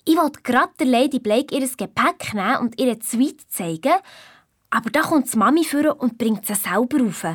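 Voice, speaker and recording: A woman's voice: fairly high pitch, lively intonation, moderate pace, no echo, studio quality. A woman playing a confident, eager young hotel owners' daughter in a children's audio drama, telling her story with a Swiss German accent. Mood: intrigued, conspiratorial.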